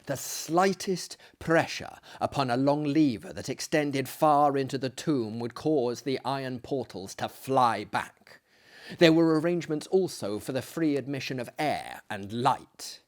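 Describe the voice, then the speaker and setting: A man giving a theatrical, hammy dramatic reading of a gothic horror story, deliberate and swinging between hushed and loud delivery. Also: mid-range voice. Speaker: dramatic reader, performer.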